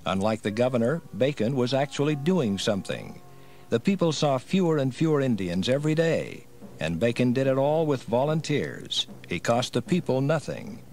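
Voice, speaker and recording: A man's voice: mid-range voice, darkish tone. A man giving scripted narration for a dramatized history program. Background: music.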